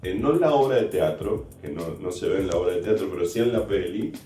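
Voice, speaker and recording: Low, mellow Argentine male interviewer. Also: expressive, quick pace. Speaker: interviewer, host. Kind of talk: casual chat interview. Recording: roomy, slightly distant mic, faint music.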